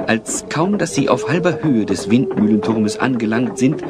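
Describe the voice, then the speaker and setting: A man's voice: mid-range voice, heavy background noise. A man narrating an audio play as a scripted, dramatic storyteller. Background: music.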